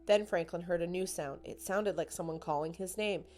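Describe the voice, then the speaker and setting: A woman's voice: on the low side, normal speed, warm tone. A woman narrating a children's picture book as a scripted storytime read-aloud.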